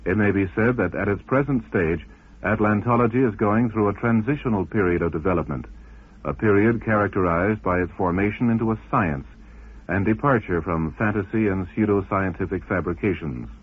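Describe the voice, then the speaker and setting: Male presenter, formal scripted read, lowish raspy-edged voice, muffled lo-fi sound. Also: a little fast. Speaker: narrator and presenter. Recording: dull lo-fi radio recording.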